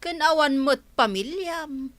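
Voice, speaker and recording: A woman's voice: high voice, lively intonation, a little slow, fairly bright tone, dry recording. An actress playing an outspoken, prying woman in a Filipino radio drama in Ilocano, chiding as she talks.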